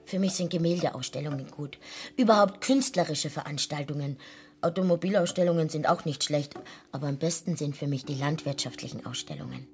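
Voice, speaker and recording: A woman's voice: low-pitched, fairly quick, darkish tone. An actress playing a chatty, over-familiar streetwalker down on her luck in a radio drama, rambling on. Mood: chatty, plaintive.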